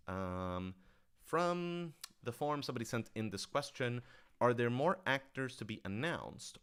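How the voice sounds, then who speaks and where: Low-voiced man with a smooth, clear delivery. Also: a bit bright. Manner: enthusiastic, explanatory, conversational with frequent hedging. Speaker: writer-director answering audience questions on a livestream. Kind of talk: casual livestream Q&A talk.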